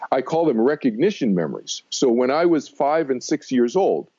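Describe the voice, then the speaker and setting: Dark, dull-sounding older American man. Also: medium pitch, very animated, moderate pace. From Massachusetts, United States. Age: 60s. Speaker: interview guest, meditation teacher. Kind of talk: conversational radio interview, personal storytelling.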